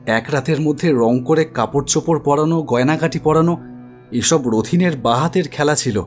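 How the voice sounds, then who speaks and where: An actor playing an anxious idol-maker who recounts a horror story in a dramatic, expressive first-person narration. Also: male voice, fairly high pitch, normal speed, natural voice. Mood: worried. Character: anxious male idol-maker recounting his ghostly encounter. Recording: studio voice over music bed.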